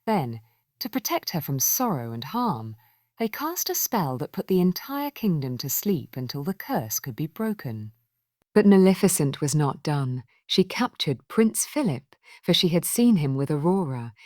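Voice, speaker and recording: Low-voiced woman telling a fairy tale. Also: sing-song, unhurried, darkish tone, no echo, clean studio sound. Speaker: storyteller. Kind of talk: scripted fairy tale narration for children.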